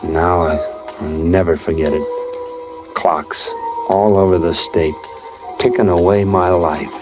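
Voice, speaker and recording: Doomed death row man telling his story, deep voice, music under it, muffled old time radio. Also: fairly even intonation, a little slow. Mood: brooding. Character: condemned man, lonely and fearful, recalling death row. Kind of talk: 1940s-50s radio drama first-person narration.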